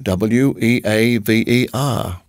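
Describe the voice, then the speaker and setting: A man's voice: lowish voice, slow, dry recording, studio quality. A man voicing a polite young male lodger in an audiobook, musing and earnest, a little hesitant. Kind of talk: audiobook character voice.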